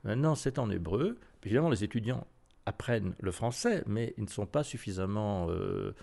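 Older man, French accent, gravelly low voice, hesitant interview guest. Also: big pitch swings, moderate pace, dark tone. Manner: hesitant, many fillers and restarts. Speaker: university lecturer. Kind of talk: relaxed interview talk.

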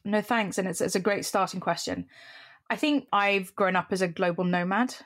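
A woman who grew up in Qatar and Cyprus, speaking as an interview guest. She tells her story in a measured, reflective way, often softening it with "sort of" and "kind of.". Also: mid-range voice. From Middle East, Qatar and Cyprus. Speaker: guest, mentoring company CEO.

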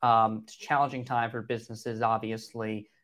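A man's voice: on the low side, little pitch movement, moderate pace, a bit bright. A man co-hosting an interview, asking a question hesitantly while thinking aloud.

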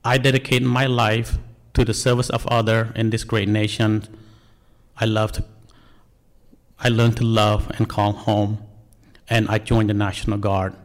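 A man's voice: lowish voice, little pitch movement, unhurried, pauses often. Man with a Cambodian accent telling his story. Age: 40s. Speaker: National Guard member telling life story. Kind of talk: prepared personal speech.

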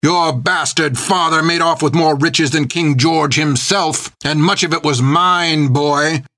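A man's voice: medium pitch, lively intonation, takes it slowly, no echo, exaggerated voice. Man playing a furious old pirate captain, spitting threats, dry close sound. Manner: seething, spits words, shouting threats. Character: menacing scarred pirate captain, older man, cruel.

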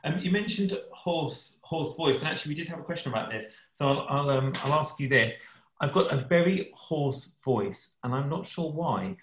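A man's voice: on the high side, animated, normal speed. Casual male podcast host in a roomy room. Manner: casual, conversational, with fillers. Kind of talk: podcast interview, reading out listener questions.

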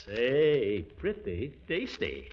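An actor playing a pompous, vain middle-aged bachelor with a blustery, muttering manner in a 1940s radio comedy. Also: male voice, mid-range voice, huge pitch swings, extremely slow, slightly rough, exaggerated voice. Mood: jovial. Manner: blustery, muttering asides, self-satisfied chuckles. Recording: dull, noisy vintage radio recording with clicks.

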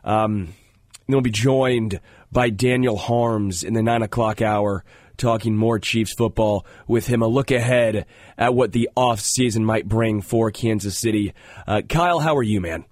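A man's voice: fairly low pitch, unhurried, a little mellow, no room sound, studio quality. A male sports radio host, congested, talking earnestly with a lot of fillers. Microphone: studio microphone.

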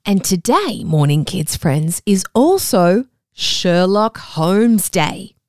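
A woman's voice: fairly low pitch, sing-song, takes it slowly, a little mellow, dry recording, studio quality. An Australian woman hosting a children's show, reading a script in an upbeat, encouraging way that speaks straight to young listeners. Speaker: children's podcast host. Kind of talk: scripted kids' show presenting.

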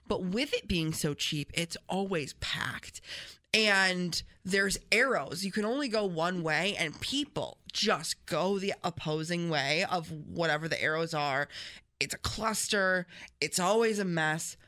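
Chatty bright-voiced female radio host. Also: lowish voice, unhurried. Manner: chatty and rambling, with lots of fillers. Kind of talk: casual morning radio chat.

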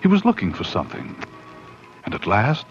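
An actor playing an excitable, uneasy man telling his own horror tale, dramatic and intense, in 1940s radio style. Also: on the low side, music in the background. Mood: suspenseful. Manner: dramatic, intense, with suspenseful pauses. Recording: dull, slightly noisy 1940s radio recording.

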